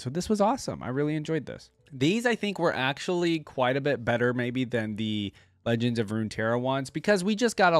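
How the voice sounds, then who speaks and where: A man's voice: medium pitch, no room sound, clean studio sound. A man hosting a reaction video, giving casual off-the-cuff commentary in a musing, conversational way.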